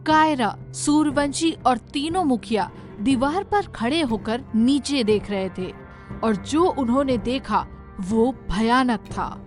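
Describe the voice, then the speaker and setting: A woman giving scripted, dramatic narration of an animated story. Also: high voice, takes it slowly, soft background music.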